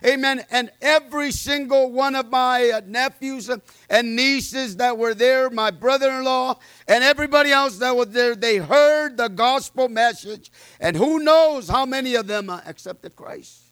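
Male preacher, high ringing voice, loud and fervent, amens throughout, dry sound. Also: normal speed, clear, resonant tone, no room sound. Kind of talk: sermon with personal testimony.

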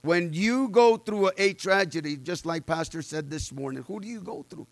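A man preaching a sermon with personal testimony, loud and fervent, peppering his words with amens and uh's. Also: high voice, moderate pace, vocal fry, no echo.

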